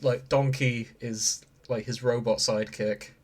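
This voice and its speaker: A British man with a medium-pitched voice chats as a podcast co-host. He riffs jokily, swears freely and drifts off into tangents in a casual, conversational way. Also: fairly even intonation, unhurried. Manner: sweary, jokey, given to tangents. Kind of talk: casual comic banter.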